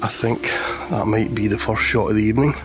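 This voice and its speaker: A hoarse man, chatting casually as he vlogs outdoors about landscape photography, with many pauses and fillers. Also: on the low side, steady tone. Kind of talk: casual vlog narration. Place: outdoors on a lakeshore, walking. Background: background music.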